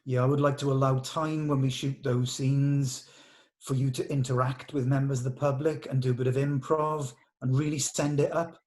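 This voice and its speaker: A man's voice: mid-range voice, level tone, moderate pace, darkish tone. Enthusiastic man chatting. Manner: enthusiastic, encouraging. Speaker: director leading a script read-through. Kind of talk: casual production meeting chat.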